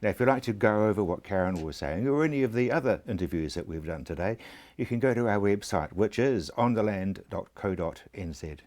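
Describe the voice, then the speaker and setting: A middle-aged New Zealand man hosts the conversation with a very low voice. He speaks in a reflective, plain-spoken way, drawing on his own experience. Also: animated, moderate pace, a little mellow. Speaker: host. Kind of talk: interview conversation.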